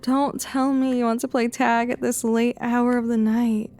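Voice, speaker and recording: A woman playing a troubled, weary high school girl in an audio drama, brooding and talking to herself. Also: little pitch movement, measured pace, a little mellow, faint music behind. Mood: exasperated.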